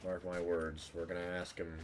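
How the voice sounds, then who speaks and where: A man's voice: low-pitched, completely flat delivery, normal speed. A man streaming a game with casual, playful, dryly joking live commentary. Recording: game sound and noise behind voice. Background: video game ambience.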